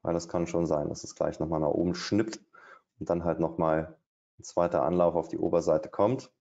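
A man's voice: low-pitched, flat delivery, normal speed, vocal fry, mellow. A middle-aged man doing live trading commentary at his desk, thinking aloud with hesitations and fillers. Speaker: day trader. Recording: dull, lo-fi stream audio.

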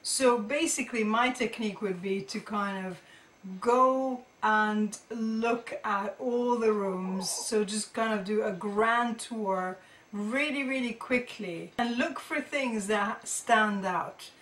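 A middle-aged woman gives an informal, explanatory talk as a teacher. Her voice sits at a medium pitch, and she thinks aloud, rewording her points as she goes. The sound is roomy and echoey, picked up a little way from the microphone. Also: takes it slowly, a bit bright, a little hiss or hum. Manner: conversational, thinking aloud, often rephrasing. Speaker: art teacher presenting tips to students. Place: indoor room with carved wooden panelling. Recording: roomy echo, distant microphone.

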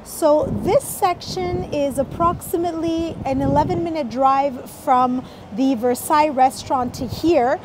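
Travel vlogger, woman in her 30s, high voice, upbeat and chatty, phone at arm's length, noisy street sound. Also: fairly even intonation, a little slow, few pauses. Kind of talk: casual travel vlog to camera. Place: outdoors on city streets and a seaside park walkway. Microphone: phone held at arm's length.

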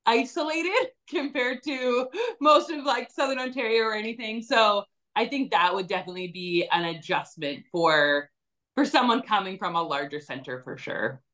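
A woman's voice: fairly high pitch, normal speed, fairly bright tone. A young woman from British Columbia, a dairy farmer guest talking easily and laughing often on a video call from home. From British Columbia, Canada. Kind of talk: casual video-call interview. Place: home room.

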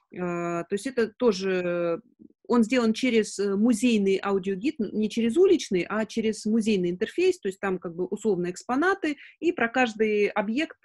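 A woman in her forties talks informally as the host of an online session. Her voice sits at a medium pitch. She feels her way through answers, often pausing to think aloud, recorded indoors on a video call. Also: lively intonation, a little mellow. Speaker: webinar host. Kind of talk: informal webinar talk, answering questions. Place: indoors, room at home. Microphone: video call on a laptop.

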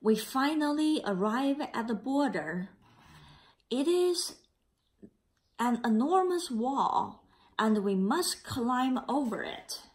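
A woman reading a children's picture book aloud in a careful, slightly halting way. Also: sits high, animated, low-quality recording. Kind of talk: read-aloud storytelling.

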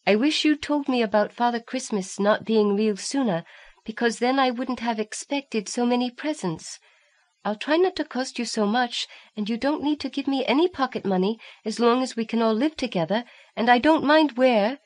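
A woman's voice: a little high, normal speed, voice pushed up, natural voice. A woman voicing an earnest, loving eight-year-old girl in an audiobook. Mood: earnest, wistful. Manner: earnest, childlike. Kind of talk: audiobook character voice reading a letter.

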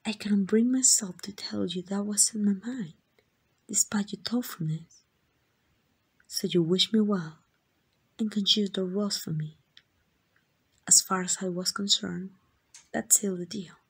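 A woman with a Mexican accent playing a tender, devoted queen in a romantic ASMR roleplay, speaking in gentle, intimate, breathy whispers. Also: medium pitch, measured pace, long pauses. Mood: tender, wistful. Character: tender, devoted queen in secret love with a commoner.

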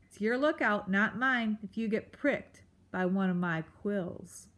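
A woman's voice: mid-range voice, measured pace, a bit bright, lightly acted. A woman voicing a grumpy old male porcupine who bellows and hurls insults in a storybook reading. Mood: cranky, bellowing. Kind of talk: storybook read-aloud.

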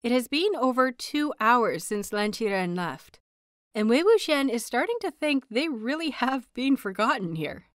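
A woman reading a scripted story aloud as an expressive audiobook narrator. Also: fairly high pitch, measured pace, dry recording, clean studio sound.

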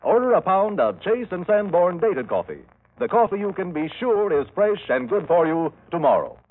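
A man's voice: high-pitched, big pitch swings, normal speed, slight background noise. A man reading a scripted 1930s radio advertisement as a commercial announcer. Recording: tinny 1930s radio recording.

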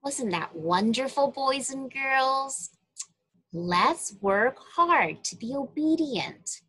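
A woman reading a Bible story aloud to young children, with deliberate, emphatic phrasing. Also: sits high, animated, fairly bright tone, breathy edge, poor audio.

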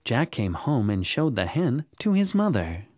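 A man narrating a scripted children's bedtime story in a dramatic, expressive way. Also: medium pitch, measured pace. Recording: music under the narration. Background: music.

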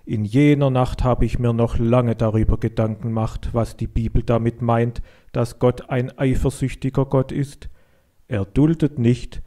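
A man reading a scripted children's story in an expressive, deliberate storytelling manner. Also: mid-range voice.

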